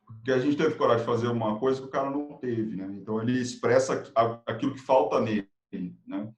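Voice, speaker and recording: A man's voice: medium pitch, faint music behind. Male guest consultant from Brazil, motivational delivery, mid-range voice, echoey untreated room. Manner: energetic, motivational, talks in quick lists. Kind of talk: live video-call chat. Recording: roomy, echoey room sound.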